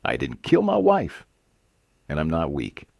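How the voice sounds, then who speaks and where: Man acting a defiant cowboy, deep and gravelly. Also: measured pace, no echo, studio quality, heavily acted. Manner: clear, calm, loud declarations. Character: quiet wronged cowboy, steady and defiant under accusation.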